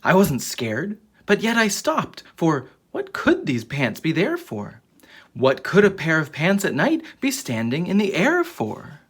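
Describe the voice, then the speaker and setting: A storyteller with a husky voice reading a children's book aloud in a dramatic, expressive way. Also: mid-range voice, measured pace. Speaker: children's story reader and channel host.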